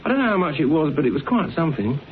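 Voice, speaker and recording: Narrowband noisy radio, young British assistant sleuth, higher male voice, fast, breezy, informal. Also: big pitch swings. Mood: matter-of-fact. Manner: breezy, slangy, matter-of-fact. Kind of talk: British radio drama acting. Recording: thin narrowband radio recording, faint noise.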